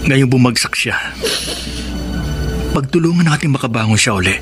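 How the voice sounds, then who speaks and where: A medium-pitched male voice with music behind it: an actor as a patient Filipino older brother in a radio drama. He is gentle and earnest, counselling like a family peacemaker. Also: animated, moderate pace, close to an everyday voice. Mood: calm, consoling.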